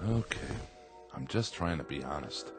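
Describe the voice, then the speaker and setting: A man's voice: a bass voice, expressive, moderate pace. Brief: a cornered husband, voice jumping around as he pleads, faint music. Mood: pleading. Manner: defensive, pleading, panicky. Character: guilt-ridden middle-aged husband Joe. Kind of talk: radio drama acting.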